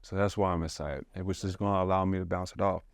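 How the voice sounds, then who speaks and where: A man hosting a podcast in an excitable, rambling way, full of restarts and fillers. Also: sits low, fast, creaky, no room sound. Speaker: podcast host. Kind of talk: casual chat.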